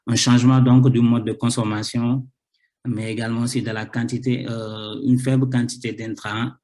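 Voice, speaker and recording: A man's voice: medium pitch, little pitch movement, normal speed, darkish tone. Hesitant man with a cold presenting research. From Senegal. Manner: hesitant, repeats words, many fillers. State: has a cold. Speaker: researcher presenting his thesis. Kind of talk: academic conference talk.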